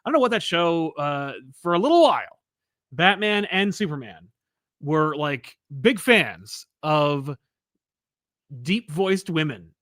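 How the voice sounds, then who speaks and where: A man's voice: on the high side, very animated, slow, a bit bright, no room sound, clean studio sound. A young man co-hosting a comic book review show, chatting casually with enthusiasm and frequent laughs. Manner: enthusiastic, laughs often, many fillers. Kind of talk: casual podcast chat.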